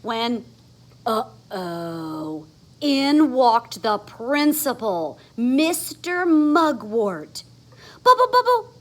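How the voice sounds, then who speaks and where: High-pitched, very animated woman reading a storybook. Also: very slow. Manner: expressive, dramatic emphasis on sound words. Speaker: storybook reader. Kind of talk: animated children's read-aloud.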